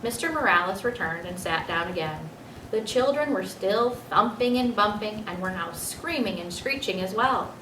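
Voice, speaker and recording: A woman's voice: medium pitch, animated, normal speed, pushed and bright. Woman reading a children's story, roomy sound. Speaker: children's book reader. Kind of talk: expressive storybook narration. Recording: roomy, distant mic, faint noise.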